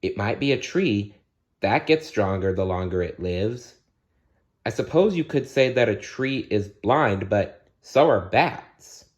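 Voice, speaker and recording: A man's voice: lowish voice, lively intonation, unhurried. A man voicing a sweet, thoughtful young princess who muses through puzzles in a storybook. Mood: pondering.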